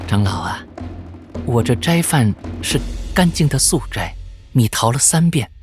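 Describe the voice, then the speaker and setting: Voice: medium pitch, lively intonation, unhurried, music in the background. A storyteller voicing a sly demon posing as a sweet young woman, polite and coaxing, in an audio picture-book drama.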